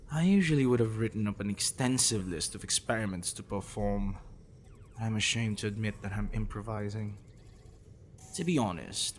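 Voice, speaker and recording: Obsessive, smugly intellectual male professor played by a man for ASMR roleplay; low-pitched, animated, condescending, with whispered asides. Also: a little hiss or hum. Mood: teasing, clinical. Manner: condescending, teasing, deliberate, dropping into breathy whispers. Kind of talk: ASMR roleplay, addressing the listener intimately.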